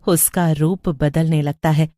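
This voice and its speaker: A woman's voice: low voice, steady tone, a little slow, warm tone, dry recording, clean studio sound. A woman narrating a scripted horror story as the storyteller.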